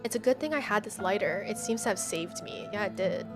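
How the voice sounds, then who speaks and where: A woman's voice: medium pitch, a little fast, music in the background. A woman livestreaming a game, reading its text aloud and reacting in casual slang. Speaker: streamer playing a horror visual novel.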